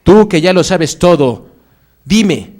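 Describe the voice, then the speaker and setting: An actor playing a haunted, dying Victorian writer in a theatrical drama, speaking in a measured, literary and mournful way. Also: male voice, high voice, expressive, darkish tone. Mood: morbid, anguished. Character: dying Victorian male writer, melancholy, haunted, wounded poet. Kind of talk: theatrical stage-drama dialogue.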